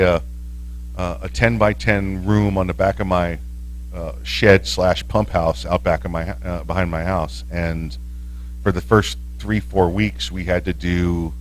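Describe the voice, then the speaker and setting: A man's voice: low-pitched, steady tone, a little slow, slight background noise. A man who hosts talk radio, chatting casually on a panel stream and rambling with frequent restarts and fillers.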